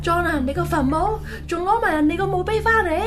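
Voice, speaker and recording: A woman's voice: very high-pitched, fast, over music. A woman playing a bossy, scolding wife in a radio drama, with a Hong Kong Cantonese accent. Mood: alarmed. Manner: scolding, exasperated. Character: bossy, nagging, superstitious wife of a taxi-style everyman.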